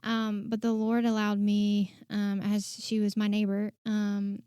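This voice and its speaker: Flat-voiced woman, earnest and hesitant. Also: medium pitch, measured pace, clear, resonant tone, no room sound, clean studio sound. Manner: earnest, many hesitant fillers. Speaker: church outreach director. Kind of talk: casual conversation.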